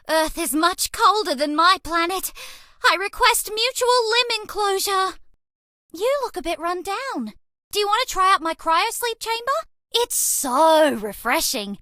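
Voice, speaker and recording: Very high, cute alien mouse girl. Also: pushed and bright, no room sound, clean studio sound, full character voice. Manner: bubbly, earnest, quirky, affectionate. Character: cute, sweet, eager young female alien mouse space traveller. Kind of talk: dating game character voice lines.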